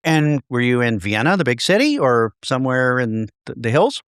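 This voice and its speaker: A man's voice: medium pitch, sing-song, normal speed, clear, resonant tone, no echo, clean studio sound. A man hosting a podcast, upbeat and conversational as he introduces and questions his guest. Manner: upbeat, conversational, some restarts. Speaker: podcast host. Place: home in Dallas.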